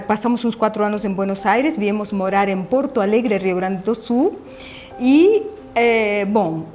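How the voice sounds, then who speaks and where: Earnest middle-aged Argentine woman testifying. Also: mid-range voice. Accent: Argentine Spanish. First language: Spanish. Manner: earnest, halting, with repeated words and restarts. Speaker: church member giving testimony. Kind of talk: personal faith testimony. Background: soft background music.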